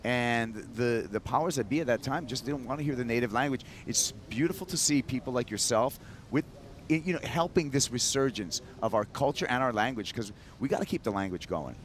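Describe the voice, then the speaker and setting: An easygoing man from Hawaii commentating on a surf contest. He talks at an even mid pitch and keeps a relaxed, chatty flow, with a faint hum of noise behind him. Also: brisk. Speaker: broadcast commentator.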